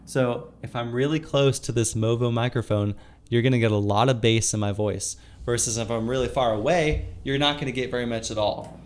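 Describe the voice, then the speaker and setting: A man's voice: mid-range voice, steady tone, a little fast. A young man in his twenties giving a casual, upbeat tutorial to camera, explaining and gesturing as he goes, recorded on a close microphone in a small room. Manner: upbeat, explanatory, gestures a lot while talking. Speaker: video creator teaching audio tips. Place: small indoor room with shelves. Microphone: close shotgun mic on a stand in front.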